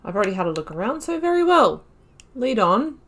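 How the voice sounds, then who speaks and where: A woman gaming YouTuber giving casual, chatty commentary as she plays through a role-playing game, reacting out loud. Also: fairly high pitch, big pitch swings, a little fast. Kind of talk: casual let's-play commentary.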